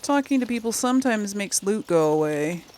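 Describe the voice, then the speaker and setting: A woman's voice: mid-range voice, expressive, normal speed, a little mellow, a little hiss or hum. A woman giving casual let's play commentary, thinking aloud as she goes. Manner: thinking aloud, reading quest text aloud.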